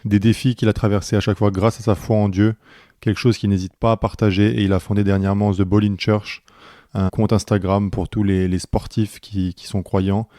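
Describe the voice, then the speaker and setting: A man's voice: fairly low pitch, flat delivery, a little fast, dark tone, no echo, clean studio sound. A young man hosting a basketball podcast, chatting casually and friendly with lots of fillers and restarts. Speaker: podcast host.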